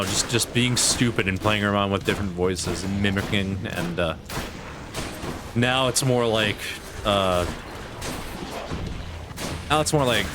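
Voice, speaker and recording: Rambling low-voiced man streaming a game. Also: noisy background. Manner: rambling, many fillers and restarts, self-deprecating. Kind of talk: casual chat while gaming. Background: game music.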